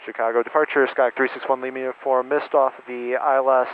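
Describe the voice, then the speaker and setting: Guy instructor pilot rattling off radio calls super quick, muffled headset sound. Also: medium pitch, flat delivery. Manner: rapid, clipped radio phraseology. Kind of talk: radio calls and casual cockpit chat. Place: small plane cockpit.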